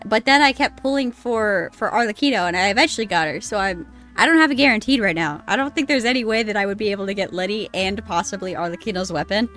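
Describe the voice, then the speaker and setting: Excitable, laughing woman streamer. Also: medium pitch. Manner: excitable, laughs often, swears freely. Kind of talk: casual let's-play commentary.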